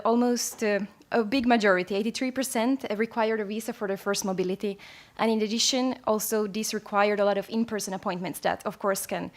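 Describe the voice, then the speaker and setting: A young woman from Finland presenting survey findings at a conference, measured and with frequent fillers. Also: mid-range voice.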